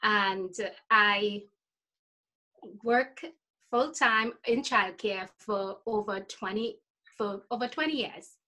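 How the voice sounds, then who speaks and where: A woman in her forties with a Trinidadian accent, answering interview questions casually, often pausing to find her words. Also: mid-range voice, sharp tone, low-quality recording. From Trinidad and Tobago. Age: 40s. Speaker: interview guest, life coach.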